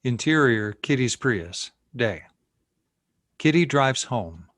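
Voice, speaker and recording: A man's voice: medium pitch, animated, takes it slowly, a bit bright, no echo. Dry-sounding man narrating a screenplay. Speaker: stage-direction narrator. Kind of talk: scripted narration at a screenplay table read.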